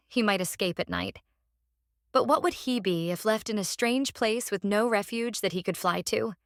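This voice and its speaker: A woman playing an earnest, devoted young wife reading her journal aloud in a dramatic audiobook, with careful, deliberate reasoning. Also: mid-range voice, fairly bright tone, dry recording, clean studio sound. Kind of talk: dramatic audiobook narration of a diary.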